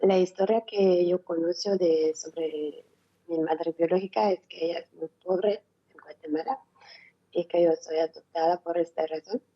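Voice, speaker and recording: A woman in her thirties from Belgium, a remote interview guest, speaking haltingly in simple phrases and repeating words. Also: lowish voice, steady tone, highs cut off. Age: 30s.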